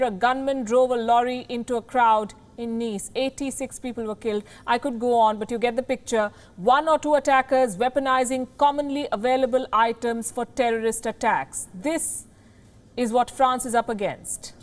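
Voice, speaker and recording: A woman with a fairly high voice presents scripted news. Her delivery is emphatic and dramatic, and she sets key phrases apart with deliberate pauses. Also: steady tone. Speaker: news anchor.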